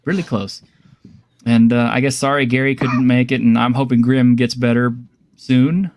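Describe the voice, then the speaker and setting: A man's voice: mid-range voice, steady tone, normal speed, darkish tone, slight background noise. A man hosting a casual online pop-culture chat show, rambling with lots of fillers and laughing along with his guests. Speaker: host. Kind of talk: casual group chat on a livestream.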